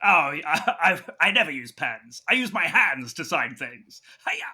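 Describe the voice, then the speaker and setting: Man playing a bright, bumbling, eager medic. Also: fairly high pitch, very animated, measured pace, breathy edge, full character voice. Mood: breezy. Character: eager, breezy, bumbling male hospital medic. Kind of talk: improvised comic roleplay.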